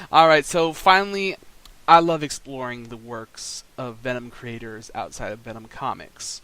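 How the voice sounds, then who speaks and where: A man's voice: mid-range voice, takes it slowly, bright, forceful tone, no room sound, poor audio. A man hosting a podcast, asking his guest questions as an eager fan. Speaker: podcast host. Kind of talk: casual podcast interview.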